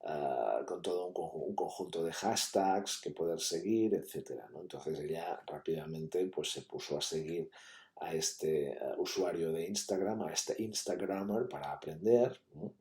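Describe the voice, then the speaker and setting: A man's voice: low-pitched, moderate pace, a little mellow. A man giving an academic conference talk, explaining steadily with many fillers and tag questions. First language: Catalan. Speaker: university lecturer. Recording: echoey room.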